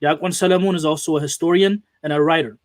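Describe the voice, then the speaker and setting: A man hosting an interview show, speaking earnestly with frequent pauses and fillers. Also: fairly high pitch, fairly even intonation, no room sound, clean studio sound. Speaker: host. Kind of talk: hosted interview.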